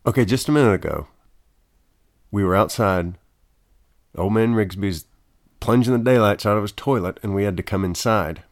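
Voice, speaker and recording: A man acting as the intern and sidekick on a comedy podcast, speaking in a meek, deadpan, mildly aggrieved way. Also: fairly low pitch, moderate pace, pauses often, dry recording, studio quality.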